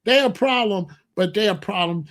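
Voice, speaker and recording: Emphatic older man, high voice. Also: normal speed, a bit bright. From Chicago, USA. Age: 60s. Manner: emphatic, repetitive, blunt street talk. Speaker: commentator. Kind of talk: opinionated monologue.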